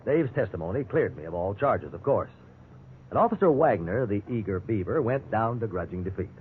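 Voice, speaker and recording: Guy acting rueful good-natured american everyman in a 50s radio drama, really animated, tinny hissy old recording. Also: lowish voice, fairly quick, natural voice. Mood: wry, satisfied. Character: ordinary man wrongly arrested, rueful, good-humored narrator of his ordeal.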